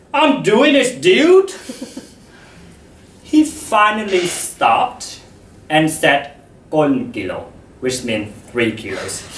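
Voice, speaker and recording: A man giving a slow, deliberate public talk to an audience, pausing often, his first language Vietnamese. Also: high voice, a bit bright, a little hiss or hum. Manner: slow and deliberate, with frequent pauses. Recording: roomy hall, voice from far off.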